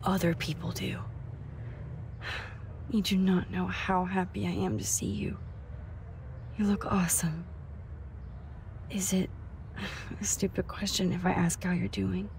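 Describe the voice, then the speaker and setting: A woman acting a caring, nerdy young student photographer in a video game, hushed and supportive, quietly determined. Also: fairly low pitch, flat delivery, measured pace, creaky, pauses often, a little hiss or hum. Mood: reassuring. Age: young adult.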